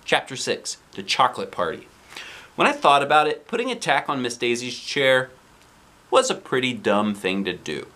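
A man in his forties reading a children's book aloud in an expressive storytelling style, with playful asides. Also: medium pitch, normal speed.

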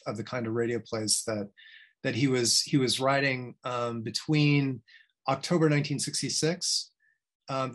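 A middle-aged male lecturer with a medium-pitched voice presents his research over a video call. He speaks informally and haltingly, working through his thoughts as he goes. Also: a bit bright. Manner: halting, thinking aloud, self-correcting. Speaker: history professor presenting research. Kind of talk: informal academic talk with slides.

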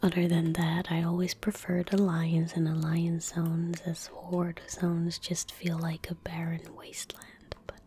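A young woman murmurs softly into a close desk microphone in a breathy whisper. Her delivery is very slow and gentle, with little rise or fall in pitch. It is relaxed ASMR commentary over gameplay. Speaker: ASMR gaming streamer. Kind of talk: soft-spoken ASMR game commentary. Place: indoor room at a desk.

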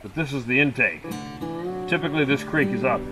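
Middle-aged male agent, casual upbeat tour voice, heard from a distance outdoors over guitar music. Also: a little fast. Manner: upbeat, informal sales pitch. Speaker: real estate agent. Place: outdoors in woods by a creek.

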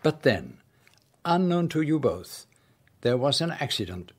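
Radio play: a sleuth, formal and measured, mid-pitched. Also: male voice, sing-song, husky. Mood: calm, knowing. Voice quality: formal, measured diction with French touches. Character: fussy foreign male detective, precise and polite. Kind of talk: radio drama acting.

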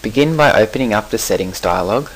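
A man talking through a software settings screen in a relaxed, explanatory way, pausing with fillers and correcting himself. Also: on the low side, poor audio. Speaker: software tutorial presenter.

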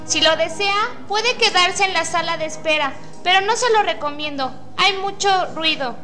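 A woman playing a young, brisk, reassuring hospital nurse in a student radio drama. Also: extremely high pitch, sharp tone, soft background music. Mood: firm, polite. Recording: faint noise, amateur radio drama recording.

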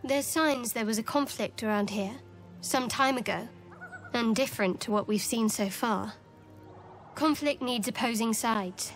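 A cool, guarded young adventuress from a fantasy game. She speaks in a slightly high voice with a dry, evasive manner, over soft music. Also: a little slow. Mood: guarded, thoughtful. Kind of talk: video game dramatic acting.

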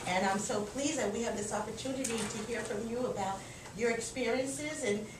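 A woman's voice: a little high, normal speed. A woman, a college provost, giving short, gracious formal welcome remarks to a class and its guest. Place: small classroom. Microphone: far camera. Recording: echoey room from far off, noisy.

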